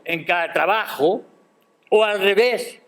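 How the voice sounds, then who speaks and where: Slow, emphatic older man giving a speech. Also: sits high, a bit bright. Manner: measured, emphatic, with rhetorical questions. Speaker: guest speaker at a university ceremony. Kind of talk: formal academic speech. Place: university auditorium.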